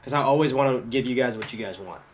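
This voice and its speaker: A man's voice: medium pitch, fast talker, a little hiss or hum. Joking young man, upbeat musician guest. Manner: joking, laughs a lot, upbeat. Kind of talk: casual chat.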